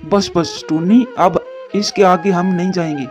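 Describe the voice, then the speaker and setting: Man voicing a dark-toned, anxious father pigeon. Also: high voice, fairly even intonation, measured pace. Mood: concerned. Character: caring, anxious father pigeon, devoted to his child. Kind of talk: Hindi moral-story cartoon dubbing. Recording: dull muffled studio track, music behind.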